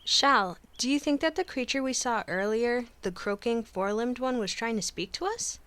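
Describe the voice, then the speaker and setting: A woman's voice: fairly high pitch, animated, normal speed, fairly bright tone, no room sound. A woman playing an eager, curious scribe on an expedition in a radio drama, full of wonder. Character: curious, excitable young female scribe and explorer. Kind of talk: audio drama acting. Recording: music behind the voice.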